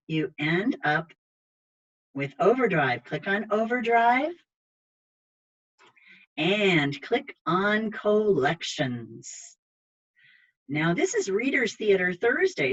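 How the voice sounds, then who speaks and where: Female children's librarian host, husky voice, polite and explanatory, dull video-call sound. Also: fairly low pitch. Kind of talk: reading aloud and guiding viewers through an online library program.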